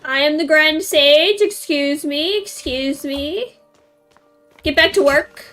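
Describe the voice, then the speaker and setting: Playful high-voiced woman streamer, unhurried. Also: pushed and bright. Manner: playful, offhand reactions. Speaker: game streamer. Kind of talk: casual gameplay commentary. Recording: commentary over game music.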